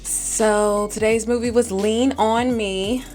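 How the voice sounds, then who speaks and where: A woman's voice: on the high side, steady tone, slow, darkish tone, faint music behind. A woman hosting a movie recap, giving scripted narration and commentary. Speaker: movie recap host and reviewer.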